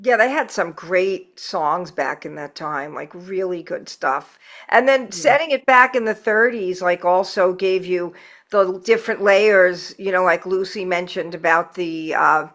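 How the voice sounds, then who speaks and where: Low-pitched woman hosting a casual interview. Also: measured pace, fairly bright tone. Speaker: podcast host interviewing guests.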